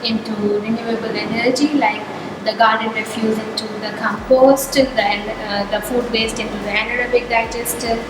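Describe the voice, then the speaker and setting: A woman's voice: a little high, flat delivery, moderate pace. A woman researcher from India introducing her project fluently, with a few restarts. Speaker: researcher, co-supervisor. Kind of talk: self-introduction. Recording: echoey room, loud background noise.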